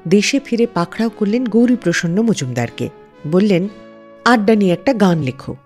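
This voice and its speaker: A woman's voice: mid-range voice, animated, moderate pace, darkish tone. A woman hosting a music radio show, telling scripted stories in a dramatic storyteller's manner.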